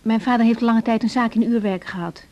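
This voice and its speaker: An actress in a 1980s Dutch radio drama playing a reserved, earnest young trainee doctor who speaks hesitantly. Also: female voice, fairly high pitch, fairly even intonation, a little fast, close to an everyday voice. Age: young adult. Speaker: actor. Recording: slightly dull radio recording.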